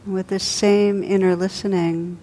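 A woman meditation teacher leading a guided meditation, speaking softly and unhurriedly with long pauses between phrases. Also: medium pitch, little pitch movement.

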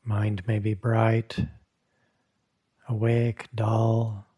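Slow, husky man guiding meditation. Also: lowish voice, completely flat delivery. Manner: gentle, unhurried, long pauses between short phrases. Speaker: meditation teacher. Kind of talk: guided meditation. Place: meditation hall at a retreat.

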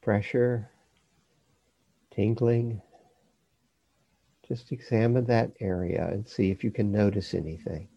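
A man teaching a guided body scan meditation, giving measured instructions in short phrases with pauses between them. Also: lowish voice, fairly even intonation, gravelly, mellow, breathy edge, no room sound, highs cut off.

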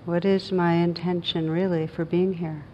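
A woman meditation teacher leading a guided meditation in a gentle, unhurried manner with long pauses. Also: fairly low pitch, flat delivery, dull audio, a little hiss or hum.

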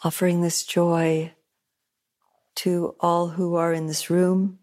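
A woman meditation teacher leading a guided meditation at a retreat center, soft-spoken, with long pauses and gently repeated phrases. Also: lowish voice, flat delivery.

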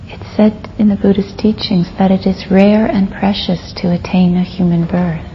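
A woman meditation teacher leading a guided meditation, speaking softly and gently with long pauses and a breathy fade at phrase ends. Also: mid-range voice, steady tone. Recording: dull lo-fi recording with faint noise.

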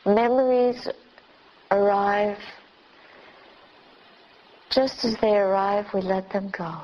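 Female meditation teacher, very slow delivery in short spaced phrases, captured on a muffled recording with noticeable background noise. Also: medium pitch, fairly even intonation, a little mellow. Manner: unhurried short phrases with long pauses. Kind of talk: guided meditation.

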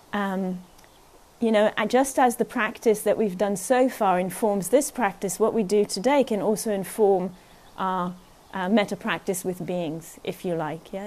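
Woman, meditation teacher at a retreat, gentle and unhurried, keeps checking in with the group. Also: medium pitch. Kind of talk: guided meditation talk at a retreat.

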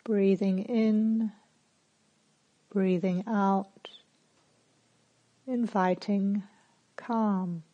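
A woman teaching guided meditation in a calm, gentle manner, with spacious phrasing and long pauses between instructions. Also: medium pitch, lo-fi sound.

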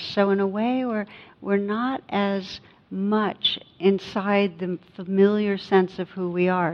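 A woman giving a meditation talk as a teacher, speaking in a reflective, conversational and gently instructive way, with personal anecdotes. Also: lowish voice. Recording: dull, muffled lo-fi sound.

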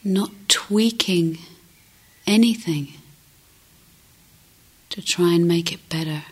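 A woman leads a guided meditation in a husky, breathy voice that often falls close to a whisper. Her pitch sits a little low. She speaks very slowly and gently, leaving long pauses between short phrases. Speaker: meditation teacher.

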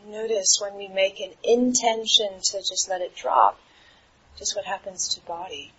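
A woman meditation teacher guiding a relaxation in a soothing, unhurried manner with trailing pauses. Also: medium pitch, fairly bright tone. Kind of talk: guided meditation. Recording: dull, muffled recording with clear background noise.

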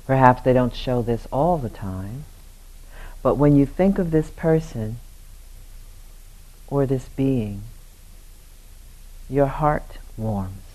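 A man leading a guided meditation as a teacher, speaking gently in short, unhurried phrases with long pauses. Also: medium pitch, animated, low-quality recording.

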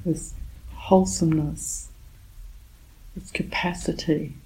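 A woman teaching a guided meditation in a gentle, calm manner, speaking in short phrases with long pauses. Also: low-pitched, poor audio. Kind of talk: guided meditation, softly spoken instruction.

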